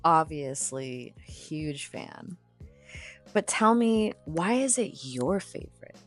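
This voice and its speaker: A woman podcast host giving a poetic, deliberate introduction and asking interview questions. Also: deep voice, big pitch swings, soft background music. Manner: slow, deliberate, solemn delivery.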